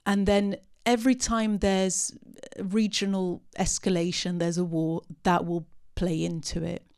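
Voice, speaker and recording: Low, gravelly woman in a discussion. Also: level tone, unhurried, a little mellow. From part Syrian. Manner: hesitant, many ums and restarts. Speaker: panelist at a post-film discussion. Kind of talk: unscripted talk answering audience questions.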